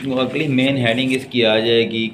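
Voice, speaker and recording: A man with a Pakistani accent giving a law lecture, reading out legal text and explaining it in a deliberate, halting way. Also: medium pitch, fairly even intonation, slight background noise.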